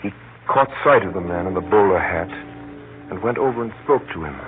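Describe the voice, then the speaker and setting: A man playing a grave, resourceful adventurer, telling his own story as narrator of a 1930s radio thriller in a measured, suspenseful way. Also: sits low, expressive, music in the background. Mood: purposeful, hushed. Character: resourceful South African adventurer recounting his peril, grave. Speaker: narrator and lead actor. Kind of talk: dramatic first-person narration, 1930s radio acting. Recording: tinny, hissy 1930s radio recording.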